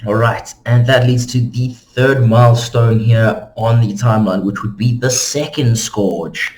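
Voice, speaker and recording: A man's voice: medium pitch, fairly even intonation, unhurried, darkish tone, a little hiss or hum. A man hosting an informal explainer, talking casually and conversationally to his viewers as he narrates. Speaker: host of a game lore channel.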